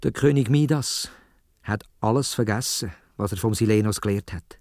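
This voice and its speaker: A man with a Swiss German accent telling a children's story in a dramatic, expressive way, speaking directly to young listeners. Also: lowish voice, moderate pace, dry recording, studio quality. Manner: dramatic, addresses young listeners with questions and exclamations.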